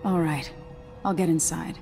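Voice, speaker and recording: An actress playing a determined young empress on a covert mission in a video game, speaking in a low-key, resolute way. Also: female voice, steady tone, unhurried, creaky, music in the background. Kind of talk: video game cutscene dialogue.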